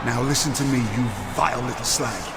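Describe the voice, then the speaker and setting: A man with a British accent playing a stern, protective gentleman mentor in a wrestling video game, with formal, admonishing diction. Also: mid-range voice, fairly quick, natural voice. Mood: indignant. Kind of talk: scripted video game cutscene acting. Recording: clear ambient noise behind voice.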